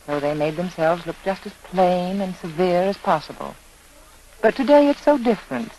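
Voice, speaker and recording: A woman's voice: fairly low pitch, expressive, normal speed, mellow, slight background noise, rough recording. A woman spokesperson delivering a scripted 1940s radio advertisement on an old broadcast recording. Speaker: commercial spokeswoman.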